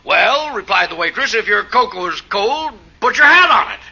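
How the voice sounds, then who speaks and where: A man's voice: high-pitched, very animated, moderate pace, vocal fry, pushed and bright, slightly rough, heavily acted. A man playing a blustery, easily outraged middle-aged father in 1940s radio comedy style, on a muffled old broadcast. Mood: joking. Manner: exasperated, blustering.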